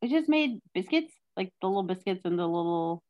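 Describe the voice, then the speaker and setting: A woman's voice: medium pitch, lively intonation, brisk, dull audio. A woman from Texas chatting as a podcast guest, joking and poking fun at herself. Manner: joking, self-deprecating storyteller. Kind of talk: casual chat.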